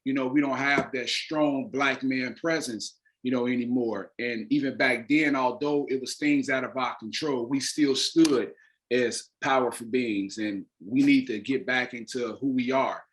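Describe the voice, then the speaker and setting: A man's voice: medium pitch, fairly even intonation, unhurried, fairly bright tone, low-quality recording. A man talking casually and emphatically as an interview guest, an actor with informal, slangy speech. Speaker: stage play creator and actor, interview guest.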